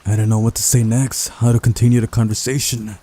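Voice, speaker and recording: A man's voice: mid-range voice, flat delivery, a little fast, mellow, slight background noise. A man hosting a visual novel playthrough, reading the story aloud with casual, playful asides over game music. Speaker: video game playthrough host.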